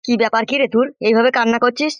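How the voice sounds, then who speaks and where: A man's voice: very high-pitched, steady tone, racing, no room sound. A man voicing a nosy, exasperated village uncle in a comic cartoon, impatient and questioning, with a rural West Bengal accent. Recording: dull lo-fi sound.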